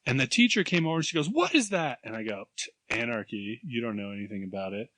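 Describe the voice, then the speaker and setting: A man in his thirties chats on a podcast with a bright, forceful voice at a middling pitch. He tells animated stories, acts out other people's voices and laughs often. The sound is close and dry. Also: fast, no room sound. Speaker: podcast co-host. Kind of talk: casual chat, storytelling.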